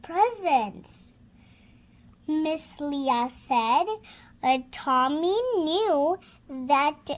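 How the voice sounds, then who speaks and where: A woman's voice: high voice, animated, drawn-out words. A six-year-old girl reading a children's storybook aloud, hesitant and sounding out words as she practices. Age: child, six years old.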